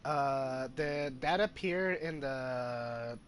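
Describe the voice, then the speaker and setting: A man giving casual playthrough commentary as a gamer, halting and musing as he goes. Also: medium pitch, a bit bright, slight background noise. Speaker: gamer, video host.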